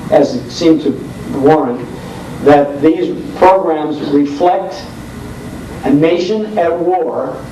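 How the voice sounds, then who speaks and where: A man who hosts radio, speaking deliberately on a panel with emphatic, repetitive phrasing. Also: a little high, mellow. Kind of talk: panel talk to a convention audience. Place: convention room, informal video recording. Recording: echoey room, distant mic, faint noise.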